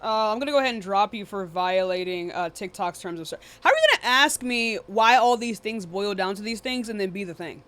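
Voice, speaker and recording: Woman doing a live debate stream, talks really fast, sharp voice, blunt n dismissive, swears a lot. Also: medium pitch. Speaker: livestream debate host. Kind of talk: live debate stream, casual confrontational chat.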